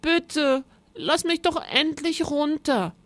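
Woman doing a little girl in a kids story, high voice, feisty but scared, kinda pleading. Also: takes it slowly, no room sound. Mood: tearful, pleading. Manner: exclaiming, pleading, crying out. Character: plucky young girl, high-voiced, easily irritated, frightened but defiant.

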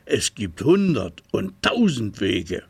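Man reading a wise old crow, slow and a bit gravelly. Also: on the low side, very animated, full character voice. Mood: knowing. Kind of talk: children's radio story.

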